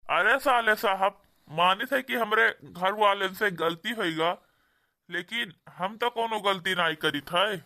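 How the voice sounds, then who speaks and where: A man's voice: sits high, measured pace, sharp tone, full character voice. A man with an Awadhi accent playing an aggrieved village husband in a comedy cartoon, earnest, complaining and pleading. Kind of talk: amateur comedy cartoon dialogue.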